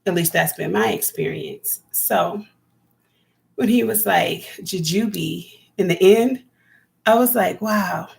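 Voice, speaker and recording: Raspy chatty woman, gravelly voice. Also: medium pitch, slow, darkish tone. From California. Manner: chatty, rambling, talks to viewers by name. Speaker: homesteading vlogger. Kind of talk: casual live-stream chat with viewers.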